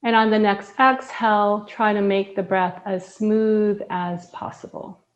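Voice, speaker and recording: Woman, yoga teacher, guided breathing meditation, very slow, soothing, slightly dull recording. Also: mid-range voice, steady tone. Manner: slow, soft, soothing guidance with long pauses.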